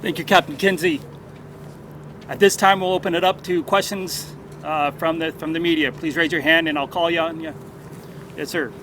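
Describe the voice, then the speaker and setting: A man moderating a press conference, briskly calling on reporters and answering, with some fillers. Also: on the high side, fairly even intonation, a bit bright. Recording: outdoor traffic noise.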